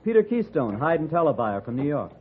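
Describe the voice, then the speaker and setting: An actor playing a bewildered, chatty city buyer out west in an old radio western. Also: male voice, on the high side, big pitch swings, brisk. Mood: flustered. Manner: puzzled, chatty. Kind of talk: 1950s radio western acting. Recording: phone-like, noisy old radio recording.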